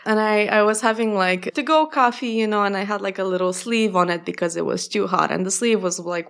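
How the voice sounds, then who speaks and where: A woman's voice: mid-range voice, a little fast, creaky, clean tone. A woman whose first language is Romanian, telling stories casually with frequent laughs and restarts. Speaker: guest. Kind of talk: casual reflective conversation.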